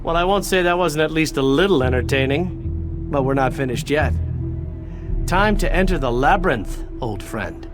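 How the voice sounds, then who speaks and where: A man's voice: on the high side, expressive, normal speed, music in the background, close to an everyday voice. A man acting a brave, wisecracking warrior companion in a fantasy game, with a jaunty, boastful manner. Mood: cocky, wry. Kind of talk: scripted fantasy game dialogue.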